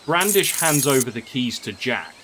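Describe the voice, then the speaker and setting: A man's voice: mid-range voice, sing-song, normal speed, a bit bright, slight background noise. A man reading scripted story narration. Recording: music under the voice.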